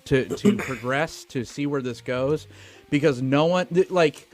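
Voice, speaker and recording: A man co-hosting a podcast, talking casually about film in animated, stop-start phrases with some swearing. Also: on the high side, unhurried. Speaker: podcast co-host.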